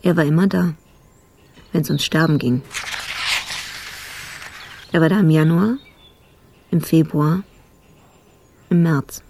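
An actor playing a cynical, world-weary police colleague in a radio drama, blunt and dry in clipped phrases. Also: male voice, on the high side, steady tone, slow, gravelly, pauses often, darkish tone, slight background noise. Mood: weary, bitter.

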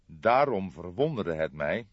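A man voicing an older police detective, calm and wry, speaking in measured, formal phrases in an audiobook. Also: sits low, dry recording, lo-fi sound, full character voice. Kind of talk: audiobook character voice.

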